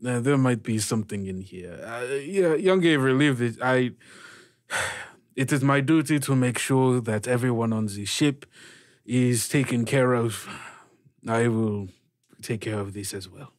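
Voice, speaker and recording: A dutiful, flustered male ship's doctor, played by a man in a tabletop game. He talks slowly and haltingly, mumbling between phrases. The sound is dry. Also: medium pitch, dry recording, close to an everyday voice. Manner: halting, full of hems and mumbles.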